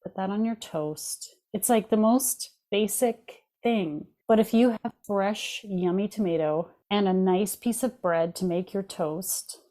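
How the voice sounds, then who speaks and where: Easygoing female co-host, mellow warm tone, casual explainer. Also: mid-range voice, measured pace, hardly any pauses. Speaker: podcast co-host. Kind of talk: casual podcast conversation.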